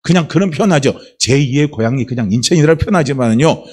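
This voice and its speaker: Male pastor from Chungcheong, husky tone, animated sweeping pitch, preaching to his church. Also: quick pace. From Chungcheong, South Korea. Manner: preaching with rhetorical questions. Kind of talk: sermon.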